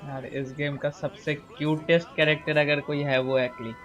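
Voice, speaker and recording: A man's voice: on the high side, level tone, racing. A man with an Indian accent chatting casually as he livestreams a game. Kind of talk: casual stream chat mixing Hindi and English. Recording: dull microphone, game music behind.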